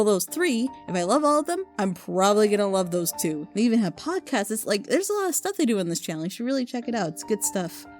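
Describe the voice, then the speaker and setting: Female reviewer, higher-pitched voice, loose and enthusiastic rambling, unscripted chat with music underneath. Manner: informal, gushing, rambling. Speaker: reviewer recommending audio dramas. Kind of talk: casual unscripted recommendation chat.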